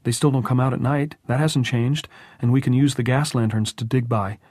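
A man voicing an animated, brittle adolescent schoolboy in an audiobook, with eager, urgent speech. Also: medium pitch, normal speed, dry recording, clean studio sound, pitched above the performer's own voice, natural voice. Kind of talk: audiobook character voice.

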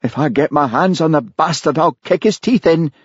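A man voicing a large, nervous young Highland police constable with a Scottish accent, his voice pushed high and strained. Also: expressive, slow, mellow, breathy edge, no echo, highs cut off, full character voice. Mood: indignant.

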